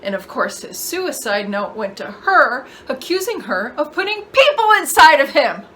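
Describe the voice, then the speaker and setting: Actress as a grieving, worn-down daughter, halting, heavy with emotion, fairly high bright voice, dramatic monologue. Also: female voice, animated, slight background noise, heavily acted. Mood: pained, bitter. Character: grief-worn daughter caring for her dying mother.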